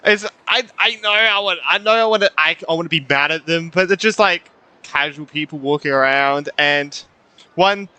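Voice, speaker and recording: A man giving casual gameplay commentary, halting and repeating words, with some swearing. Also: fairly high pitch, sharp tone, clear, resonant tone, dry recording. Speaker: gamer reacting to a story quest.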